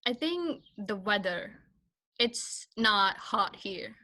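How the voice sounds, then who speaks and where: A young woman from Pakistan whose first language is Punjabi, a guest speaking in a soft, hesitant way with many fillers. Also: mid-range voice, animated, slow pace. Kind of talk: casual interview chat.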